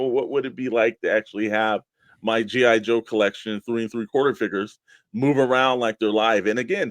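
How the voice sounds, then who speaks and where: A man's voice: medium pitch, dry recording. A man co-hosting a casual talk show about a hobby, speaking in an informal, rambling way. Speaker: show co-host. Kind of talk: casual chat about toy collecting.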